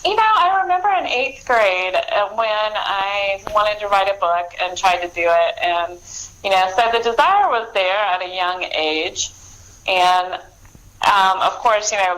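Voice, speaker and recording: A middle-aged woman, an author chatting casually as an interview guest, talkative and quick to laugh. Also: mid-range voice, lively intonation, a bit bright, slight background noise, poor audio.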